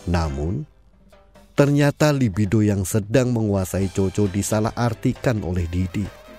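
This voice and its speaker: A man tells an audio drama story in a measured way. His low voice is dark and mellow. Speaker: narrator. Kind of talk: scripted audio drama narration. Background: faint music.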